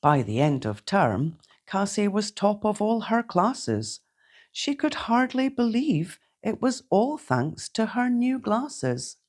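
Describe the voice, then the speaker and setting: A woman reading a children's bedtime story aloud in a gentle, theatrical, deliberate way. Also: mid-range voice, sing-song. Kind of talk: expressive read-aloud bedtime storytelling in rhyme.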